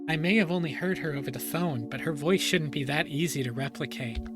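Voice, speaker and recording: A man streaming a dramatic first-person reading of a story, pausing and repeating phrases for suspense. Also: on the high side. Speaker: streamer reading a visual novel aloud. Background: music.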